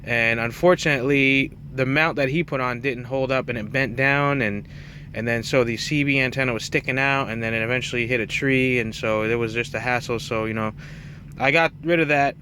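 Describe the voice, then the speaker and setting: A man's voice: mid-range voice, steady tone, a little fast, pushed and bright, a little hiss or hum. A man talking in a casual, rambling, self-effacing way, like an unscripted hobby vlog by a truck driver. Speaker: truck driver and CB radio hobbyist presenting his setup.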